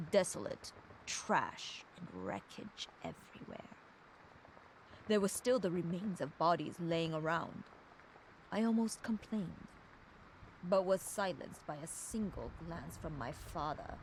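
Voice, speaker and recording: A woman's voice: lowish voice, animated, slow pace, slight background noise, rough recording. An actress playing a proud, fierce young woman enforcer, delivering a solemn, controlled dramatic monologue for a video game audio log. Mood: grave, reflective.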